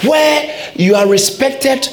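Male pastor, high animated preaching voice, repeats lines for punch, reverberant church sound. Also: slow pace. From Ghana. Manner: emphatic preaching with repeated phrases. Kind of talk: sermon.

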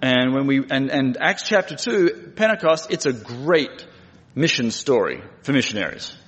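A man's voice: mid-range voice, very animated, moderate pace, fairly bright tone. A middle-aged man with an Australian accent, preaching conversationally with dry humour and plain speech. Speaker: guest preacher and missionary. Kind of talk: conversational sermon. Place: large church room. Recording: echoey hall with long reverb.

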